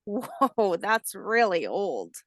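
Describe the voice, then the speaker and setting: A woman voicing a curious, excitable little alligator hatchling in a storybook, asking things in an eager, wide-eyed way. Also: medium pitch, very slow, no echo, studio quality. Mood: wondering.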